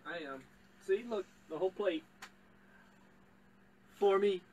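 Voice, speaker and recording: A man's voice: sits high, takes it slowly, darkish tone, far from the mic, lo-fi sound, a little hiss or hum. A young man tasting teas as a guest in a tea shop, joking playfully, heard through a camera across the table. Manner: playful, teasing. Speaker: guest taster. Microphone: far camera across table.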